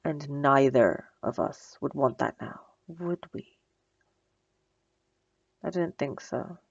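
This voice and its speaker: Low-voiced sardonic female supervillain played by a woman. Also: slow pace, vocal fry, slightly rough, dry recording, dull audio, full character voice. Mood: bossy, sardonic. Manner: teasing, dry, conversational. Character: female supervillain, bossy and sarcastic, secretly flustered by compliments. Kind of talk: ASMR roleplay audio drama.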